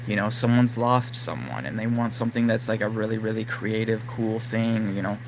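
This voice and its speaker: A man whose voice is going hoarse, talking casually and rambling to his live-stream audience with plenty of fillers. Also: on the low side, moderate pace, noisy background. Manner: rambling, lots of fillers like "like" and "you know". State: losing his voice, throat-clearing. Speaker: livestream host.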